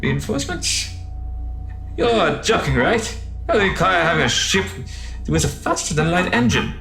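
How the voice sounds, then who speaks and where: A man's voice: high-pitched, sing-song, moderate pace, gravelly, sharp tone, soft background music, full character voice. A man playing an alien conscript soldier in an audio drama, blunt and wistful, speaking in literal, formal phrases with puzzled questions. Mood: incredulous, curious.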